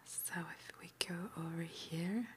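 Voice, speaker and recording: Slow whispering woman, halting ASMR roleplay. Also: on the low side, a little hiss or hum. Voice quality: breathy whisper. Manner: hesitant, halting, gently reassuring. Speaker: ASMR roleplayer playing a witch school guide and interviewer.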